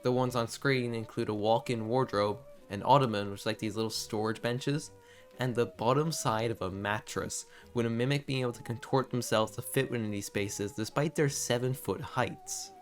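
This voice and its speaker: A man narrating a scripted video essay in an expressive, informal and confiding way, with casual asides. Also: medium pitch, normal speed, faint music behind.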